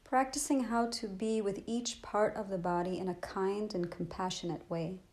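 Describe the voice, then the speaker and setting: Woman meditation teacher, mid pitch voice, soft gentle soothing delivery, unhurried w long pauses, body scan style guiding. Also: fairly even intonation.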